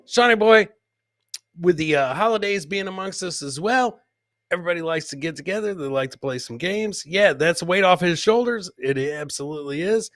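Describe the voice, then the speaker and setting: Male comedy host for live clip commentary. Bright, punchy, slightly raised voice, teasing delivery, repeats the punchline, close and dry sound. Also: high voice, animated, moderate pace, no echo.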